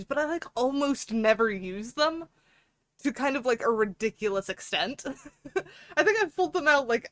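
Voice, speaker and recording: High-voiced, giggly woman streamer. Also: no room sound. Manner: giggly, playful, animated rambling. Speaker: livestream host. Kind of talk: casual livestream chat with viewers.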